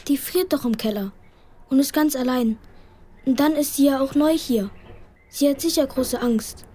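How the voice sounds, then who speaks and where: An actor as a high-voiced anxious little boy. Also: normal speed, warm tone, lightly acted. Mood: worried, pleading. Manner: pleading, childlike, often whispering. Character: small anxious little boy, tender-hearted. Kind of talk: children's radio play acting. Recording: music behind the voice.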